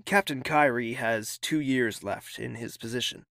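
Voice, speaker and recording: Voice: mid-range voice, measured pace, creaky, a bit bright, no room sound, clean studio sound. An actor playing a haughty, impatient young royal prince in an audio drama, clipped and condescending. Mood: relaxed, familiar.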